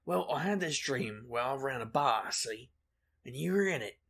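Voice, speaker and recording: Gravelly, wisecracking male investigator, played by an actor. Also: medium pitch, normal speed. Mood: glib, cocky. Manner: glib, deadpan joking. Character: brash, wisecracking male urban-fantasy investigator, reckless charmer. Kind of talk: audio drama acting.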